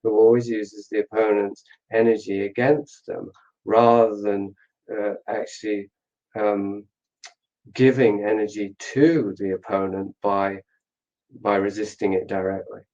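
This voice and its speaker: A man speaking as an interview guest in a reflective, conversational way, deliberate and correcting himself as he weighs his words, on slightly muffled audio. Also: fairly low pitch, steady tone, darkish tone.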